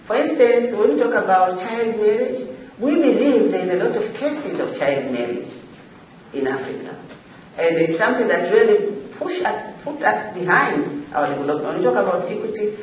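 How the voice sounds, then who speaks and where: A Tanzanian woman speaks at a forum, some way from the microphone in a very reverberant room with faint noise. She talks semi-formally and without a script, pausing and repeating herself to drive points home. Her delivery is halting but emphatic. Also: medium pitch, expressive. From Zanzibar, Tanzania. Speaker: forum panelist, African regional official. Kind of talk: semi-formal extemporaneous panel remarks. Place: echoey indoor forum room. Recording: echoey room, distant mic, faint noise.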